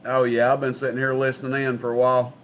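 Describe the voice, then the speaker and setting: A man's voice: medium pitch, completely flat delivery, fast, fairly bright tone, a little hiss or hum. A man with a Southern US accent, chatting casually and folksily as he hosts an evening on CB radio. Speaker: CB radio operator and livestream host. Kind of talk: casual chat over CB radio.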